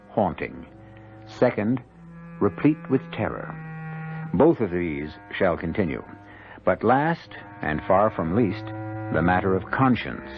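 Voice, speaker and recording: A man hosting a radio drama, narrating in an ominous, deliberate, theatrical and ornate style. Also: lowish voice. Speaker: host and narrator. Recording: dull old radio recording. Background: music.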